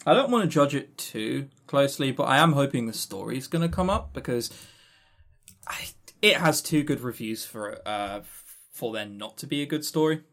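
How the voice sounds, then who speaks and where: A man's voice: mid-range voice, expressive, moderate pace, a bit bright. A man with a southern English accent, co-hosting a podcast with blunt, casual opinions. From south of England. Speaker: podcast co-host.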